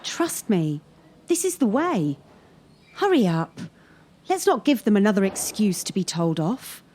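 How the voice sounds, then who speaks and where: A slow, knowing girl gymnast. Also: medium pitch, very animated, a little mellow. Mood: conspiratorial. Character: knowing, bossy girl gymnast guiding her teammate. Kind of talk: radio drama acting.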